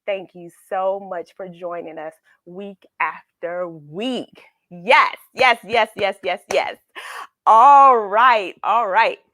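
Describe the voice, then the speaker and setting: A woman's voice: mid-range voice, slow, pushed and bright, no room sound. A woman hosting a children's read-along, chatting informally in a playful, affectionate, encouraging way and repeating phrases for emphasis.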